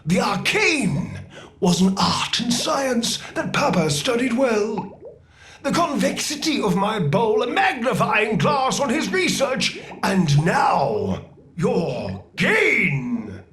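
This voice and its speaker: A man voicing an excitable, boastful little creature scholar in a video game, theatrical and pompous. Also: sits high, slow, roomy sound, heavily acted. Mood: proud, instructive. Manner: theatrical, chuckling, pompous with stammered asides. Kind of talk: scripted video game character dialogue.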